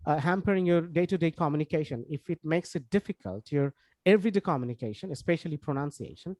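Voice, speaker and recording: A man from Bangladesh with a Bangladeshi accent, a Bengali speaker lecturing informally in English as a course instructor, explaining haltingly with frequent asides. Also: fairly high pitch, mellow, no room sound.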